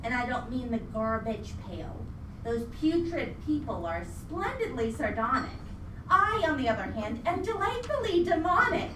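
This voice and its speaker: A vengeful female ghost in a live radio play, high and swooping in pitch. The delivery is theatrical and taunting, full of demonic glee. It comes across echoey and distant from the mic. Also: sing-song, normal speed, background noise. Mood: gloating menace. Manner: theatrical, taunting, pun-heavy.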